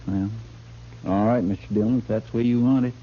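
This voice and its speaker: An actor playing a deferential, simple frontier sidekick, plain-spoken and hesitant, in 1950s radio western style. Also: male voice, on the low side, steady tone, fairly quick, natural voice. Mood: earnest, concerned. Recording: muffled old radio recording, noisy.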